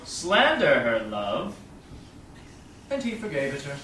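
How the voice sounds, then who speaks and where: A man's voice: a little high, wildly swooping pitch, slow, bright, forceful tone, heavily acted. A man playing a romantic, poetic young lover in a staged Shakespeare reading. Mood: tender, lyrical. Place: small theatre stage, far audience camera. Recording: very echoey stage, distant camera, faint noise.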